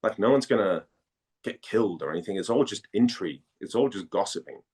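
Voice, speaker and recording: A man from Denmark speaking casually as an interviewed actor, halting and repeating phrases as he searches for words. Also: lowish voice, lively intonation, darkish tone, slightly rough.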